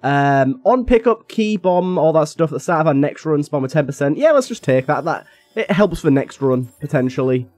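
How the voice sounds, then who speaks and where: Slightly raspy young man, under the weather. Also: fairly high pitch, animated, moderate pace, darkish tone, no echo. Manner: chatty, thinks aloud while playing. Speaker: gaming YouTuber. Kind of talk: casual gameplay commentary.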